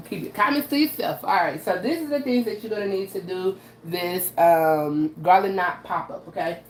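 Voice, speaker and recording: A woman with a raspy, slightly low voice talks viewers through a recipe in a casual, chatty way, checking in with "okay?" again and again. She is recorded in a roomy, echoey home kitchen with faint noise behind her. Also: measured pace. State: raspy from allergies. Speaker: host of a live cooking stream. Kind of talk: casual live cooking demo talking to viewers. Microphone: camera she adjusts by hand.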